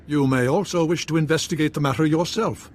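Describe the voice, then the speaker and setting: An actor playing a courteous, diplomatic nobleman in a video game, speaking in a formal, deliberate, theatrical way. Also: a little high, a little hiss or hum, natural voice. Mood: courteous, grave. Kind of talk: video game dialogue acting.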